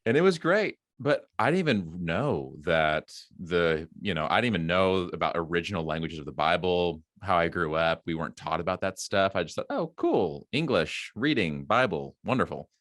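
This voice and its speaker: Deep-voiced host with a dry sound. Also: male voice, lively intonation, normal speed, few pauses, a bit bright, dry recording. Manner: friendly, asks long questions, laughs often. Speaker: podcast host. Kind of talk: casual interview chat.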